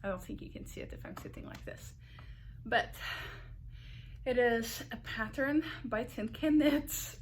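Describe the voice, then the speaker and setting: A young woman with a Portuguese accent, hosting a knitting video podcast. She chats casually to the camera, unhurried, often using filler words and correcting herself. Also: fairly high pitch, a little hiss or hum.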